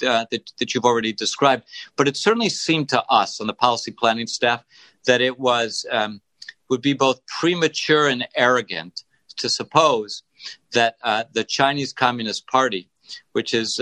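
Halting, formal man with a bright, forceful voice. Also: mid-range voice, no echo. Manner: halting, deliberate, formal phrasing with repetitions. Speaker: guest, government policy official. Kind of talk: interview answer on foreign policy.

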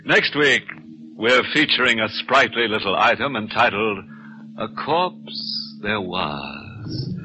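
A man hosting a 1940s radio mystery in a theatrical, sardonic, macabre tongue-in-cheek style, with drawn-out delivery. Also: mid-range voice, sharp tone, slight background noise, poor audio. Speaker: mystery show host. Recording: old radio recording.

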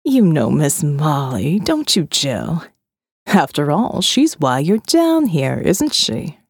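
A woman reading an audio novel voices a condescending male captor who talks slowly and patronizingly. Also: deep voice, very animated, slightly rough, no room sound, clean studio sound, pitched below the performer's own voice, heavily acted. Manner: slow, drawling, patronizing. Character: smooth condescending male captor, middle-aged.

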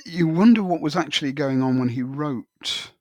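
A man's voice: a little high, moderate pace, no room sound, clean studio sound. A man co-hosting a conversational book discussion, informal and thinking aloud, repeating words as he makes a point. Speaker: podcast co-host.

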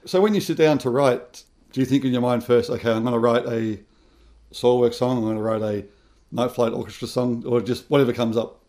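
A man hosting a casual interview over a video call. He asks loose questions and keeps things friendly with quick "yeah"s. His voice sits at an ordinary middle pitch. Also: fairly even intonation, warm tone. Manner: friendly, brief affirmations, laughs along.

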